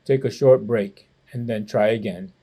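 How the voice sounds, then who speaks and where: A man reading the part of a calm, firm old grandfather who gives measured instructions in a children's storybook. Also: lowish voice, slightly rough, pitched below the performer's own voice. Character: calm, firm old Chinese grandfather and Tai Chi teacher.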